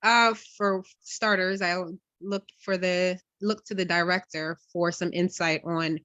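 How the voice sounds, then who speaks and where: Bright, lowish-voiced woman, close and dry. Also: steady tone, unhurried, no room sound, highs cut off. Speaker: stage actress, interview guest. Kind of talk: casual interview answers.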